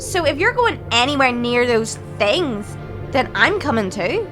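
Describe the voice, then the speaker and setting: A woman's voice: sits high, very animated, unhurried, over music. A young woman playing a feisty, sceptical student in an amateur audio drama, with a stubborn, sarcastic manner. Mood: defiant. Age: young adult.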